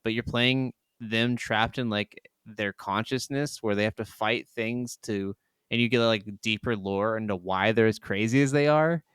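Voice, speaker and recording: Guy gushing about video games on a podcast, lowish smooth voice, super dry close sound. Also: moderate pace, a bit bright, no echo. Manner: enthusiastic, gushing; laughs easily. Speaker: podcast co-host.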